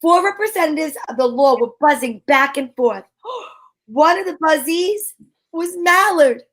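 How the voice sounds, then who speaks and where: A woman playing a wisecracking amateur sleuth in an old-time radio play, narrating briskly in first person. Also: high-pitched, lively intonation, fairly bright tone.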